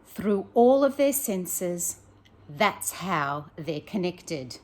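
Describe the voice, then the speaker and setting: A woman, a primary teacher reading a picture book aloud to young children, expressive and encouraging, with chatty questions to her listeners. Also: fairly low pitch, drawn-out words.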